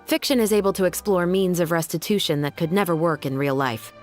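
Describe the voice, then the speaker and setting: A woman acting a resolute young female officer of the law in a game cutscene. Her voice sits fairly low and rings with long reverb over music, every sentence measured, earnest and formal. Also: natural voice. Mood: calm, thoughtful. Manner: earnest, deliberate, formal speech.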